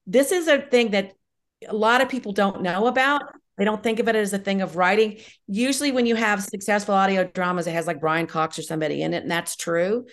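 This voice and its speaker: A woman's voice: mid-range voice, brisk. A middle-aged woman speaking as an interview guest, candid and emphatic in a reflective conversational way. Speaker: guest, audio drama writer and director.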